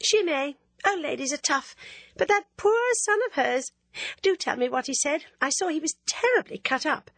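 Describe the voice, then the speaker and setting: A woman's voice: sits high, expressive, normal speed, a bit bright, no room sound, voice pushed up. A woman voicing a vain, teasing young woman who gushes and drops French words into her talk. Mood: gushing.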